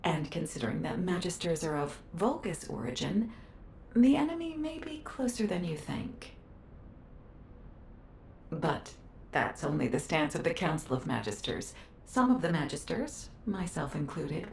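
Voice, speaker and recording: An actress playing a cool, dignified female councillor in a video game, speaking in a composed, formal, deliberate way. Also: low voice, sing-song, vocal fry, darkish tone, slight background noise, full character voice. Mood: assuring. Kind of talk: scripted video game dialogue.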